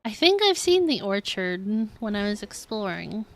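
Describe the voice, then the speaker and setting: A young woman livestreamer chatting casually with viewers in a halting, conversational, giggly way while playing a game. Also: medium pitch, big pitch swings, fairly bright tone, poor audio. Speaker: game streamer.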